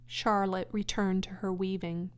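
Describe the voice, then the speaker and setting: A woman's voice: mid-range voice, little pitch movement, unhurried, clean tone. A woman reading a children's story aloud as scripted audiobook narration. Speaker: audiobook reader.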